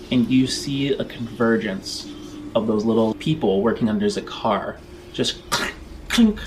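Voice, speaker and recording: A man narrating a tabletop game as game master, describing scenes in halting, improvised phrases. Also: mid-range voice, a little hiss or hum, rough recording. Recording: background music under the voice. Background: music.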